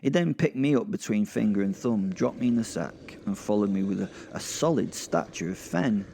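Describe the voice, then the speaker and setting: A man voicing a crude, irritable werewolf with a British accent and a gravelly voice, telling his story in a rambling, sweary, sardonic way for a comic horror audio drama. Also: fairly low pitch, expressive, moderate pace, dark tone, slight background noise. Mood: bemused. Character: crude, kinky, irritable male werewolf narrating his ordeal.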